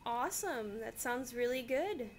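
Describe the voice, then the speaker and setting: A woman's voice: fairly high pitch, animated. A young woman playing an upbeat schoolteacher who praises her class, in an amateur class skit. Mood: cheerful. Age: young adult. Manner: cheerful, encouraging, quick to praise. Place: small classroom, indoors. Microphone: far camera. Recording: echoey room, far mic, loud hiss.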